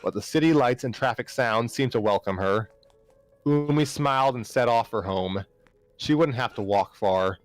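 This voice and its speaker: A man's voice: mid-range voice, normal speed, soft background music. A man reading prose narration aloud as an amateur story reader.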